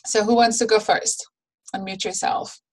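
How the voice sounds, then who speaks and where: A woman's voice: mid-range voice, little pitch movement, moderate pace, rough recording. A woman leading a training call for new consultants, friendly and instructive, with frequent "um"s, on a video call. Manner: friendly, instructive, many fillers. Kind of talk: training call with screen share.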